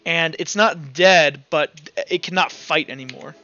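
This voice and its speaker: High-voiced, bright man running a tabletop game. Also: unhurried, creaky. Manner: informal, vivid gory description, checks rules aloud. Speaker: game master. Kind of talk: running an online tabletop roleplay session, casual narration. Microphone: online voice call. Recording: dull online voice-chat audio with music behind. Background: music.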